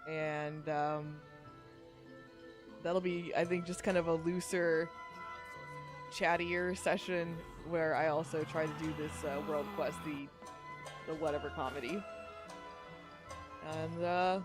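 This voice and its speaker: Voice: mid-range voice, level tone, slow, pauses often. Chatty streamer with music behind. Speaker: podcast host and streamer. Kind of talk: casual chatty livestream talk.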